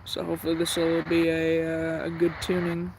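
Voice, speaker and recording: Guy w high voice doing casual radio tuning stream, kinda hesitant, noisy background. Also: completely flat delivery, moderate pace, creaky. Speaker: shortwave radio hobbyist and streamer.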